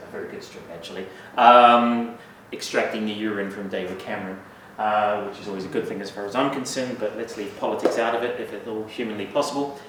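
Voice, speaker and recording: A man's voice: lowish voice, a little hiss or hum. Male lecturer, New Zealand accent, wry and self-mocking, heard from a distance in a very reverberant hall. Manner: wry, self-deprecating asides with frequent "uh" fillers. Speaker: guest speaker. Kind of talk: university lecture talk. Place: university lecture room. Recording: echoey hall, mic at a distance.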